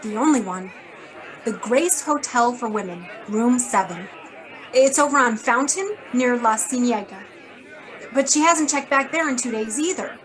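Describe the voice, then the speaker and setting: An actress playing a brisk, blunt, wisecracking female editor in a hard-boiled radio drama. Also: a little high, expressive, a bit bright, a faint crowd, poor audio. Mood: businesslike. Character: brisk, sardonic, worried female magazine editor.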